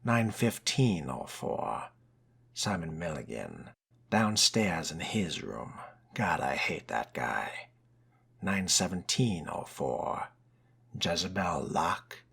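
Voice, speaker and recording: A man voicing a brooding, genteel vampire in video game roleplay, breathy and near-whispered, with a measured, ominously polite, old-fashioned manner. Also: low voice, big pitch swings, a bit bright, exaggerated voice. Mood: dry reading. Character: brooding, genteel, world-weary male vampire fledgling.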